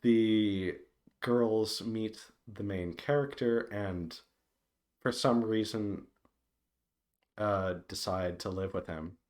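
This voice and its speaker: A man giving a casual, unscripted update to viewers, thinking aloud in a hesitant, meandering way with halting pauses. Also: lowish voice, darkish tone. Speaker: visual novel developer giving a devlog update.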